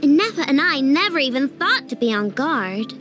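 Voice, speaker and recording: A woman's voice: high-pitched, big pitch swings. A woman voicing a stubborn, hot-headed young girl inventor in a video game, petulant and emphatic. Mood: earnest, excitable. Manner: emphatic, petulant, drawn-out exclamations. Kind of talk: animated game cutscene dialogue. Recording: music behind the voice.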